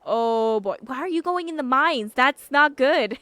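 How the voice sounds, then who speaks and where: A woman's voice: high voice, animated, a little slow, fairly bright tone, no echo. A woman doing casual live let's play commentary as a game streamer, playful and reactive, swearing freely. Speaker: game streamer reading dialogue aloud.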